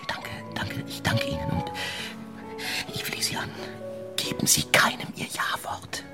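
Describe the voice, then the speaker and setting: A man's voice: in a whisper, over loud music, natural voice. A man acting a passionate, jealous, scheming married journalist in a German radio play, impulsive and theatrical. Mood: hushed pleading. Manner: impulsive, theatrical, halting when emotional.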